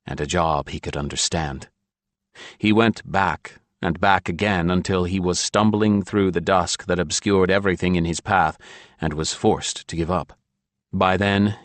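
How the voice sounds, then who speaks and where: A man's voice: low-pitched, dry recording. A man narrating a fantasy audiobook in a scripted storytelling style.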